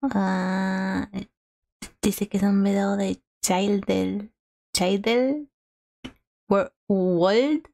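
A woman's voice: mid-range voice, steady tone, extremely slow, vocal fry, long pauses. A woman giving casual, chatty, giggly commentary as host of a reaction video. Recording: loud music behind the voice. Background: music and the reacted video's audio.

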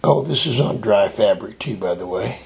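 An older man with a raspy voice, demonstrating a craft step by step while he works. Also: lowish voice, animated, darkish tone. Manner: unhurried, explaining while he works. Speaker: tie-dye hobbyist demonstrating. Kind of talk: slow hands-on craft demonstration.

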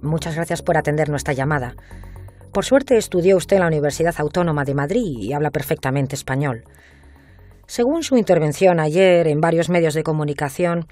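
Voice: medium pitch, a little mellow, dry recording, lightly acted. An actor playing a composed radio news presenter conducting a formal scripted interview.

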